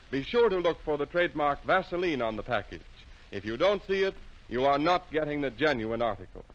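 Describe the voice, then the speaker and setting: A man with an American accent reading scripted sponsor announcements in a formal, measured, carefully enunciated 1940s radio style, on a muffled vintage broadcast. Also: medium pitch.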